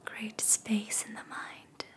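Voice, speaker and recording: Whispering woman, very slow ASMR meditation guide. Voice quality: soft, breathy whisper. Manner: soothing, slow, repeating phrases with long pauses.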